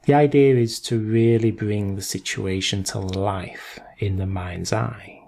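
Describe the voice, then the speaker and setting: A man leading a guided meditation in gentle instruction, unhurried, leaving long pauses between short phrases. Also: fairly low pitch, steady tone, vocal fry.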